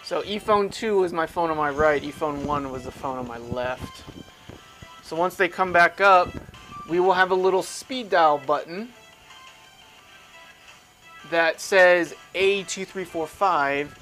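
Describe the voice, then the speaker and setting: A man's voice: fairly high pitch, unhurried, long pauses, fairly bright tone, faint music behind, poor audio. A man giving a casual step-by-step technical tutorial, posing questions to himself and explaining as he demonstrates. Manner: informal, self-questioning, explains aloud while demonstrating. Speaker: instructor.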